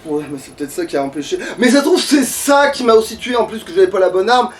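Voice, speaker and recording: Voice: mid-range voice, big pitch swings, quick pace. A gamer giving casual, sweary and grumbling commentary during a let's play. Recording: distant mic with background noise.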